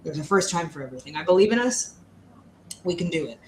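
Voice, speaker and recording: A woman's voice: fairly low pitch, lively intonation, quick pace, a little mellow. A woman in her thirties chatting casually and bluntly as she co-hosts a book discussion, with a sardonic streak. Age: 30s. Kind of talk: casual chat, book commentary. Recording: roomy echo, faint background noise.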